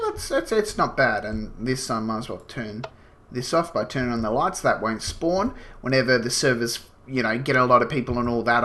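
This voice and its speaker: Bright-voiced gamer man with a cold. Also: medium pitch. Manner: rambling, explains as he goes, many fillers. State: has a slight cold, sniffling. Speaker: gaming channel host. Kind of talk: casual gameplay commentary.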